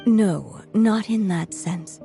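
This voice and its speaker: A woman voicing a frail, gentle but resolute elderly writer in a video game, speaking slowly and softly between coughs. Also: medium pitch, creaky. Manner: slow, soft, reflective. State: coughing, weak. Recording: faint game music behind.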